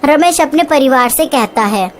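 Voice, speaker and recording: High-pitched woman narrating horror tales. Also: expressive, moderate pace, slight background noise. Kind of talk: scripted horror story narration.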